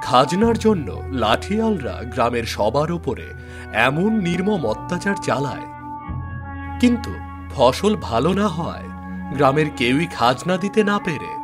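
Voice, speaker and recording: A man's voice: a little high, sing-song, unhurried, music in the background. A man narrating a folk tale in a scripted storybook style.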